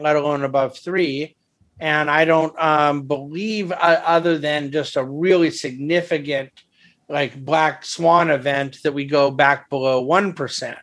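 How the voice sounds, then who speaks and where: A man's voice: fairly high pitch, a bit bright. Thoughtful man giving market commentary. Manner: thoughtful, hedging, many restarts. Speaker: investment firm head and market commentator. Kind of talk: conference call market commentary. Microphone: phone or conference call line.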